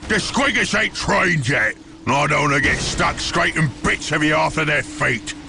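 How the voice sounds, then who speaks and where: A man voicing a brutish, war-hungry ork warboss for a video game, gravelly and with a Cockney accent. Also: on the high side, animated, normal speed, over music. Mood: gruff, scornful. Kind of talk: video game creature acting.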